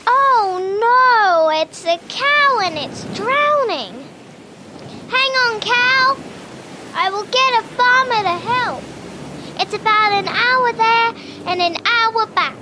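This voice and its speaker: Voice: extremely high pitch, animated, full character voice. A performer playing an earnest, kindly, innocent young schoolgirl in an old-fashioned radio serial comedy. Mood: worried. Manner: innocent, slow, wide-eyed. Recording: loud seaside ambience and noise.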